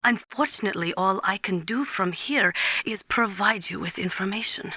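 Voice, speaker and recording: A resolute female Ukrainian nuclear analyst for a video game. Her voice is crisp-edged and forceful at a medium pitch. She lectures with grave, deliberate earnestness in a dry studio sound. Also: dry recording. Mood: firm, instructive. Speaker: military advisor briefing a soldier. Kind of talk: dramatic video game voice acting.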